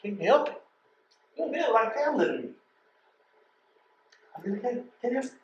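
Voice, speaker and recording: Animated American man postal carrier, echoey and distant stage acting. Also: very high-pitched, moderate pace, dull audio, slight background noise. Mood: caring, cajoling. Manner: animated, folksy, cajoling. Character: loyal, teasing male postal carrier, protective friend. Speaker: stage actor. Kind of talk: stage play dialogue, colloquial.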